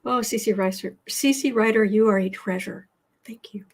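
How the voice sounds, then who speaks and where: An American woman hosting a casual tarot livestream, chatting haltingly as she comments and reads out viewer messages, often repeating her words. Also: medium pitch, fairly even intonation. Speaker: host of a live tarot and astrology show.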